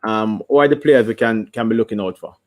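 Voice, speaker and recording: A man's voice: lowish voice, a little fast. A man hosting a football watch-along stream, asking his panel questions and reading viewer comments, often restarting his words. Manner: animated, stammering restarts, reads viewer comments. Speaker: host. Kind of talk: casual watch-along chat.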